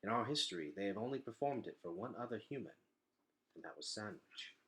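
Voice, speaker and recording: A man voicing a solemn, wise elder of an underground people in a storybook, speaking in a hushed, formal, measured way. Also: fairly low pitch, animated, low-quality recording.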